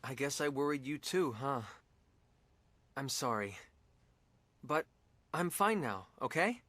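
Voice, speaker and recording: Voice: mid-range voice, sing-song, slow, fairly bright tone, no echo, exaggerated voice. An actor voicing a good-natured, hesitant young man, the easily flustered hero of a video game. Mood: sheepish. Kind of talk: English video game dub acting.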